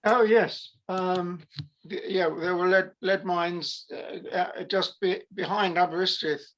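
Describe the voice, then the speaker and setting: Guy explaining stuff on a call, real slow and hesitant, highish voice. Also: fairly even intonation, fairly bright tone, rough recording. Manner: very hesitant, halting, frequent pauses. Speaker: panelist. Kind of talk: informal expert explanation. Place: video call.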